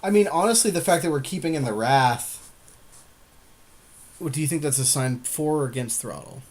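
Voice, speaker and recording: Male podcast co-host, medium pitch, informal game strategy talk. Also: normal speed. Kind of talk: casual strategy chat about a card game.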